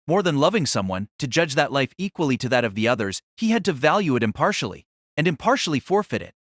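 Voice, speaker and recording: A man narrating a novel as a dramatic audio reading, solemn and theatrical, with measured phrasing. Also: mid-range voice, very animated, a bit bright, no room sound, studio quality.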